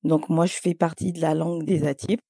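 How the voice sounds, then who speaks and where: A woman from Côte d'Ivoire speaking calmly and hesitantly in a casual conversation, pausing often. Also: low-pitched, breathy edge, no room sound, studio quality. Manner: calm, hesitant, many pauses. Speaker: podcast co-host.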